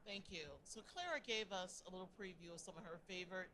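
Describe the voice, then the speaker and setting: A woman's voice: lowish voice, fast talker, bright, forceful tone, a little hiss or hum, rough recording. A woman moderating a panel discussion, moving between scripted introductions and questions to guests.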